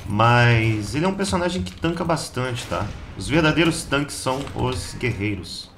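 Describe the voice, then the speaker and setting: A slightly hoarse Brazilian man giving a casual, slangy gameplay guide as host of a gaming channel. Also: mid-range voice, animated, normal speed. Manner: slangy, informal, chatty. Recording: keyboard clatter and background noise.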